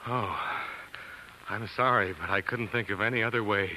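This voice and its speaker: A man's voice: lowish voice, steady tone, moderate pace, bright, forceful tone, a little hiss or hum, poor audio. An actor playing an earnest young scientist turned doctor, narrating his life in 1940s radio drama style. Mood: apologetic, flustered. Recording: 1940s radio recording with music.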